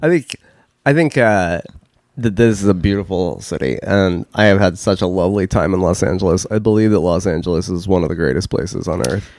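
A man's voice: low-pitched, fairly quick, darkish tone, no room sound, studio quality. A man in his forties co-hosting a podcast in loose, joking casual chat, swearing freely. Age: 40s. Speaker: podcast co-host.

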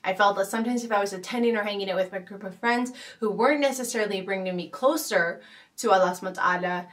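A woman hosting a podcast, speaking in a thoughtful, casual way with frequent pauses, fillers and restarts. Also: mid-range voice, distant mic. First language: not Arabic. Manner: thoughtful, many fillers and restarts, gentle laughs. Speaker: podcast host. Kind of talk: casual reflective talk.

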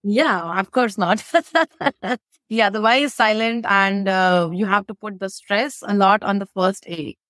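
A woman's voice: medium pitch, slow pace, a bit bright, no echo. A woman from India, a guest on a podcast, explaining with examples and tags like "right?". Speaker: interview guest, AI expert. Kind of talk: casual interview chat.

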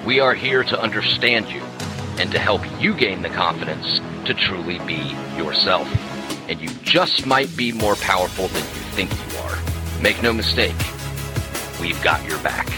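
Deep-voiced man reading an earnest intro. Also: expressive, normal speed. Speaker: podcast host. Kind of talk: scripted podcast intro. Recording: music bed underneath.

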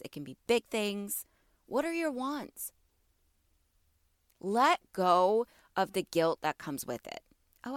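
A woman's voice: medium pitch, no echo, clean studio sound. A woman in her thirties hosting a wellness podcast, talking casually and encouragingly to listeners, her voice a bit stuffy from allergies. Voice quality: slightly congested. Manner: warm encouraging, asks listeners questions. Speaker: podcast host.

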